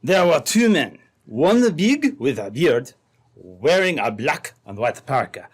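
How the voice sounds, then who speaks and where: Radio drama trapper, male actor: French accent, earnest and insistent, very lively intonation, stilted dramatic delivery. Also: on the high side, slow pace, breathy edge, full character voice. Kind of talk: old-time radio drama acting.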